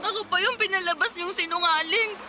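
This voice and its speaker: A woman's voice: very high-pitched, brisk, background noise. A woman playing a hurt, defiant young runaway daughter in a radio drama, tearful and accusing. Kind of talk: Tagalog radio drama acting.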